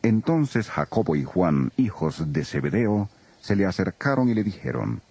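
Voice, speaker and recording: A man narrating a dramatized scripture reading as an expressive storyteller. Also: sits low, unhurried, husky.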